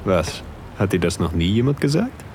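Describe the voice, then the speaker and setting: A man playing a tall, confident, charming yacht deckhand in an intimate audio drama, quietly flirtatious and unhurried. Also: low voice, very animated, a little hiss or hum. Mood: seductive.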